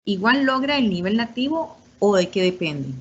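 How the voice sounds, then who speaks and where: A woman's voice: mid-range voice, lively intonation, moderate pace, slightly rough, lo-fi sound. A woman moderating an online talk, reading out audience questions in a brief, businesslike way.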